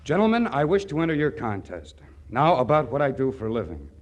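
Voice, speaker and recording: Casting: man playing guileless easygoing country-town fellow, mid-pitched, lively intonation, vintage radio comedy. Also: a little fast, natural voice. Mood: earnest, naive. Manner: guileless, folksy. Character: simple, easygoing small-town rowboat renter. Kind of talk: 1940s radio comedy acting.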